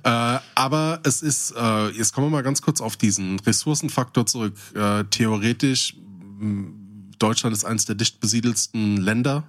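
German guy with a lowish voice, cohosting a podcast, just chatting casually. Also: normal speed. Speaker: podcast co-host.

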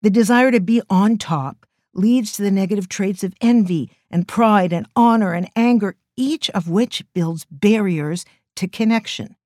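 Woman host, middle-aged, low mellow voice, earnest teaching talk. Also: no echo, studio quality. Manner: earnest, emphatic delivery. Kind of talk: reflective spiritual talk.

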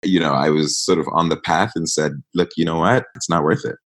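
A man in his thirties with an extremely low voice, raised in East Africa. He talks as an interview guest, reflective and unhurried, and leans on fillers as he tells his story. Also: husky. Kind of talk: casual interview talk.

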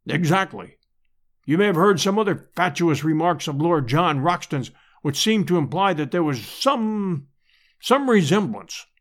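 A man's voice: fairly high pitch, expressive, measured pace. A man voicing a pompous, easily offended professor in an adventure audiobook, with orotund, formal diction. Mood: solemn, touchy. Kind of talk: dramatised audiobook character reading.

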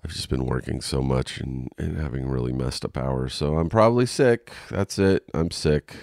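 A man's voice: very deep voice, moderate pace, vocal fry, darkish tone, no echo, clean studio sound. A congested man hosting a solo podcast, rambling frankly with lots of ums and restarts, sounding a little nasal and coughing. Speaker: podcast host. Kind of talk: casual solo podcast monologue. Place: home.

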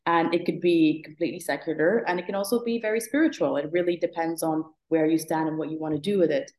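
Low-voiced woman in a roomy space. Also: quick pace, a little mellow. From Morocco. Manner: reflective, frequent fillers and restarts. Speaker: guest, app founder. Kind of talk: conversational interview.

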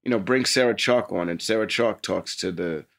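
A man's voice: on the low side, moderate pace, creaky, a bit bright. A man with an American accent co-hosting a podcast in casual banter, talking in an emphatic, slangy way with some swearing. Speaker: podcast co-host.

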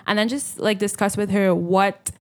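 A woman's voice: mid-range voice, moderate pace. A woman co-hosting a podcast, chatting casually and laughing often as she tells stories. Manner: laughs often, animated storytelling. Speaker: podcast co-host. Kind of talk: casual chat.

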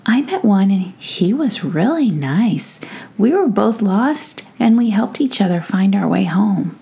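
A woman's voice: mid-range voice, lively intonation, a little slow, vocal fry, dark tone, husky, full character voice. A woman voicing a friendly, polite, hopeful young boy in a children's story, earnest and easygoing.

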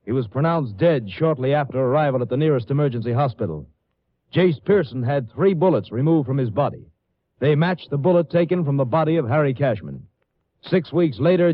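Male 1950s radio narrator, formal and matter-of-fact, a bit high, with close, muffled old sound. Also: no echo. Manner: formal, factual. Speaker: announcer. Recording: dry, dull 1950s radio recording.

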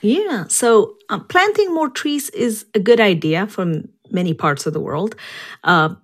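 A woman speaking as an expert guest in an interview, explaining science in a measured way. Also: medium pitch, expressive.

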